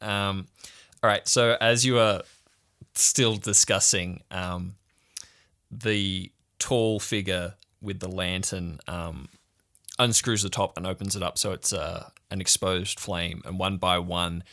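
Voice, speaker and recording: A man narrating an improvised tabletop role-playing game as game master, describing scenes in a halting, deliberate way. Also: deep voice, steady tone, bright, forceful tone.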